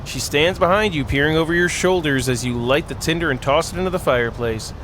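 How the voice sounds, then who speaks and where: A man's voice: fairly high pitch, a little fast, background noise. A man hosting a gaming video, reading narration aloud with playful, jokey improvised asides.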